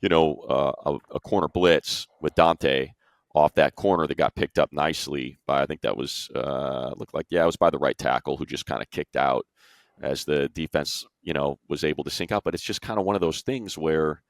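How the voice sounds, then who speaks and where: Male sports panelist, very deep register, dry close sound, informal emphatic analysis. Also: moderate pace, vocal fry, no echo. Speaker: sports show panelist and play-by-play announcer.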